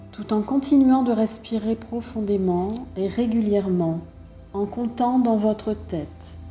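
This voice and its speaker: A woman yoga teacher with a very soft, dark-toned voice leads a practice slowly and calmly. She gives measured instructions for breathing and posture, leaving pauses between them. The room adds noticeable echo, and faint music plays behind her. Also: medium pitch. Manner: calm, measured step-by-step guidance with pauses.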